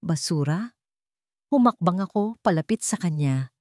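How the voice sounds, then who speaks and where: A woman's voice: fairly low pitch, lively intonation, normal speed, mellow, no room sound, clean studio sound. A woman giving dramatic scripted narration of a romance story.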